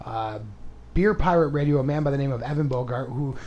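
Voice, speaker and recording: A man's voice: mid-range voice, a little fast, mellow, highs cut off. A man hosting a comedy podcast in casual, crude chat, rambling, self-mocking and profane. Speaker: podcast host and stand-up comedian.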